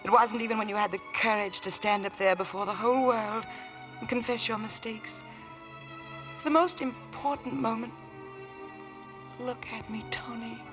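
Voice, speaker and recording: An actress playing a loyal, tender wife, earnest and deliberate, in an old radio drama. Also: female voice, on the high side, steady tone, close to an everyday voice. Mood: fervent. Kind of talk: 1940s radio drama acting. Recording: dull old radio recording, music behind.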